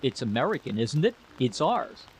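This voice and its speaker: A man's voice: medium pitch, big pitch swings, moderate pace, lightly acted, low-quality recording. Male reader as terse, combative military man for a sci-fi tale, mid-range, light hiss underneath. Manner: curt, challenging. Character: suspicious, combative American military man, Franks.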